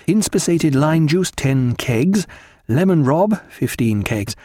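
Guy doing a calm precise lady reading out a list, higher pitch, measured, audiobook. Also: no echo, clean studio sound. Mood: methodical. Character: composed, methodical woman reading out a stores list.

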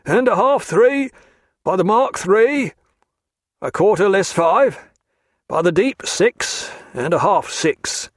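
A man's voice: sits high, expressive, takes it slowly, no room sound, clean studio sound, voice pushed up, full character voice. A man voicing a male sailor who chants depth soundings in a sing-song call. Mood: chanting.

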